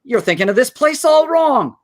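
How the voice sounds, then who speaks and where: A man playing an earnest, kind-hearted small-town loan manager in a live radio play, stammering and halting as he pleads. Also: extremely high pitch, big pitch swings. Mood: anxious, pleading.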